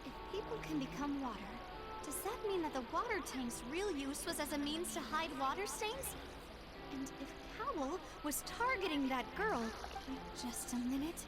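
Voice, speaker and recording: An earnest young female adventurer with a high voice. She reasons deliberately in video game dialogue. Loud music runs underneath. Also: animated. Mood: urgent.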